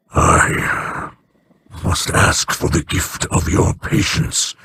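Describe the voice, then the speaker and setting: A grave alien leader speaks with measured formality and a gravelly texture. His pitch rises and falls widely, and a steady hiss sits under the game audio. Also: full character voice. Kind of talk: video game voice acting.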